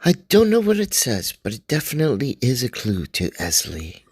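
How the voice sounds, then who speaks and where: A woman's voice: rumbling low, wildly swooping pitch, normal speed, a little mellow, breathy edge, no room sound, heavily acted. A woman playing a determined young investigator in a radio drama, pleading and distressed. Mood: puzzled. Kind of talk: amateur radio drama acting.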